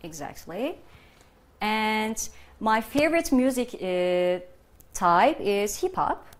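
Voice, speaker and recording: A woman English teacher, a native Turkish speaker, answering in a measured classroom role-play. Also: medium pitch, sing-song. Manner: measured, answering with example questions.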